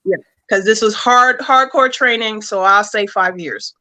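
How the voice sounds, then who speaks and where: Bright-voiced woman explaining her work. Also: mid-range voice, a little slow. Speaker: comic creator and writer, interview guest. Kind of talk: conversational explanation.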